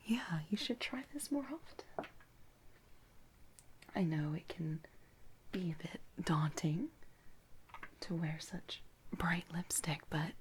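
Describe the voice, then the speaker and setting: Slow, whispering woman in ASMR roleplay. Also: lowish voice, a little hiss or hum. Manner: gentle, hushed, unhurried, halting with soft pauses. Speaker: ASMR creator playing a makeup artist. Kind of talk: soft-spoken whispered ASMR personal-attention roleplay.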